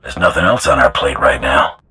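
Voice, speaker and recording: A man playing a gruff, cocky mercenary bounty hunter in a video game, with a gravelly voice and a terse, wry way of talking. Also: rumbling low, fairly quick, pushed and bright, heavily acted. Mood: cocky, relaxed. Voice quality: gravelly, husky. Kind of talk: video game character acting.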